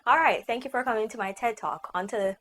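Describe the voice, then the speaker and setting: A woman's voice: a little high, quick pace, fairly bright tone, slight background noise. A young woman born in Guyana and raised in Jamaica, with a Jamaican accent, chatting casually and upbeat to her vlog audience with lots of "like" fillers. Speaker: vlogger.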